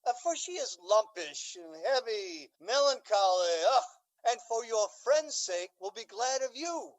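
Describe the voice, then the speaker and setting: Actor as a smug pompous duke in shakespeare radio drama, really slow n deliberate, voice goes up and down a lot. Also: mid-range voice, lightly acted, low-quality recording. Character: pompous, self-satisfied scheming old duke.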